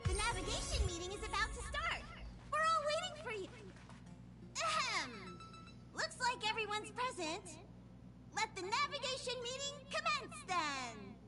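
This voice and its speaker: A woman's voice: very high-pitched, big pitch swings, measured pace, loud music behind the voice, exaggerated voice. A woman voicing a small, cute mascot creature who conducts a train, speaking formally and earnestly in a video game. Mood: officious. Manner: formal, officious, speaks of self in third person. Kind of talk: video game character acting.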